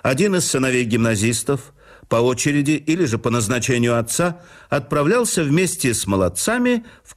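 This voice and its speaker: A man reading a memoir aloud as a scripted radio narration, with a measured storytelling delivery. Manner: measured, storytelling delivery with dips and lifts in pitch.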